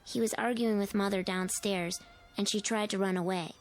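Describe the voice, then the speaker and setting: A woman playing an eerie, knowing little girl in a radio drama, speaking in deliberate, probing short phrases. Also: medium pitch, steady tone, soft background music, lightly acted. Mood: haunting, insistent. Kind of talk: old-time radio drama acting.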